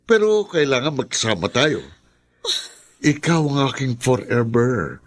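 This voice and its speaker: A man's voice: medium pitch, very animated, unhurried. An actor playing an obsessive man who keeps a woman locked up, smug and coaxing, in a radio drama. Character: obsessive male captor, smug and possessive.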